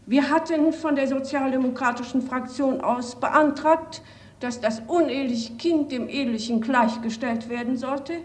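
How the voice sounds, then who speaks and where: Fairly high female voice, actress playing resolute regretful lawmaker, emphatic formal address, echoey, far from mic. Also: moderate pace, a little mellow, lightly acted. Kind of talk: formal retrospective address, re-enacted. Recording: echoey room, distant from microphone.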